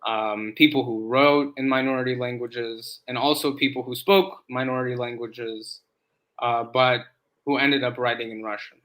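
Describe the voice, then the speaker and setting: A man in Slavic studies, a guest on an interview, explaining at length in a measured way with many "um"s. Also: medium pitch, steady tone, fairly bright tone. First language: English. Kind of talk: casual interview chat.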